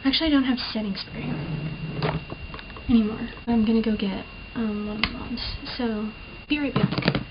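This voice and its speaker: A woman's voice: fairly high pitch, fairly even intonation, normal speed. A young woman chatting through a makeup tutorial in a casual, self-deprecating, rambling way, with a slight lisp from the camera mic. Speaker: beauty vlogger. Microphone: built-in camera mic. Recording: muffled lo-fi camera mic with clear hiss.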